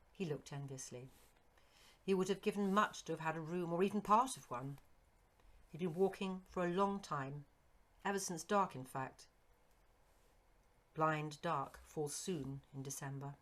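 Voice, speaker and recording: A woman reading a Victorian horror story as scripted narration, in an expressive, literary storytelling manner. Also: low voice. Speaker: narrator, audiobook reader.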